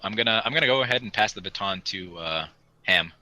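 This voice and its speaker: A man's voice: fairly low pitch, quick pace, sharp tone, no echo. A young man chatting casually and in a rambling way as a podcast host. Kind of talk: casual chat.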